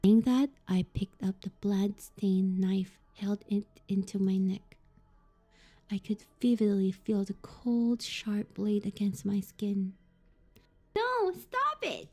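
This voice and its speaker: A woman's voice: medium pitch, little pitch movement, slow pace, mellow. A young woman streamer reading a visual novel aloud and reacting expressively with laughs and comments.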